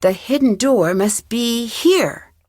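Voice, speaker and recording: A woman voicing a curious, determined young female detective in an adventure game, talking to herself in clear, purposeful scripted lines. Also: fairly high pitch, lively intonation, takes it slowly, darkish tone, dry recording, clean studio sound. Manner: clear self-talk, inquisitive and purposeful. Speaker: game protagonist.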